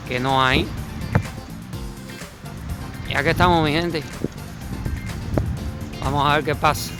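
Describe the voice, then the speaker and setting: A man with a Cuban accent vlogging as he walks the streets in winter, chatty and thinking aloud, often repeating words mid-sentence. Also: a little high. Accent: Cuban Spanish. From Cuba. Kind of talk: casual travel vlog narration. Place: city streets outdoors in winter. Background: music.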